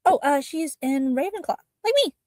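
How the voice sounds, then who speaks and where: A chirpy, upbeat young student girl, as a man plays her, reassuring and friendly. Her voice sits high and swoops around lively. The sound is close and dry. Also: normal speed, no room sound.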